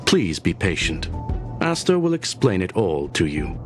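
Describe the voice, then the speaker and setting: An actor playing a formal, analytical and patient male advisor in a video game, with clipped, deliberate phrasing. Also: low voice, big pitch swings, over music. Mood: patient, reassuring. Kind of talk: video game cutscene voice acting.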